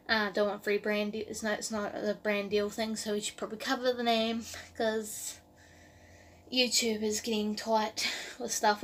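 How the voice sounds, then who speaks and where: A woman in her early twenties with a New Zealand accent, talking casually to camera as a vlogger in a rambling way full of "like" and "um". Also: a little high. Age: early 20s.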